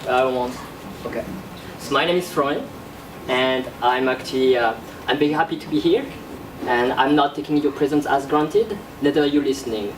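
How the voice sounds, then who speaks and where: A young man, an artist born in Korea and raised in France, presenting his work in a classroom in a halting, casual way with many fillers. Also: medium pitch, recorded from a distance, noisy background. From born in South Korea, raised in France. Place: classroom with projector screen. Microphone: far camera at back of room. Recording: roomy echo from across the room.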